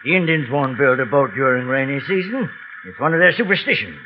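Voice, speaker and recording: A man's voice: medium pitch, big pitch swings, a little fast, slight background noise, lightly acted. An evasive old man in a jungle radio drama, as an actor plays him, wheedling and stammering while his voice swings up and down. His lines sound dull and muffled, like an old radio broadcast. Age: older. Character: lonely old jungle man who keeps a reader captive. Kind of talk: 1940s radio acting.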